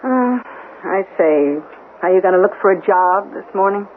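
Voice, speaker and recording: An actress playing a loving, careworn middle-aged mother in a 1970s radio drama, gentle, plain-spoken and mildly reproving. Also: medium pitch, lively intonation, moderate pace, close to an everyday voice. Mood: gently encouraging. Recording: muffled phone-like old radio, faint hiss.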